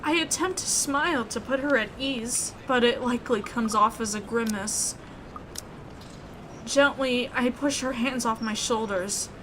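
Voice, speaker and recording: A woman narrating a horror visual novel in the first person, reading with expressive, suspenseful delivery. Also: fairly high pitch, a little slow, background noise.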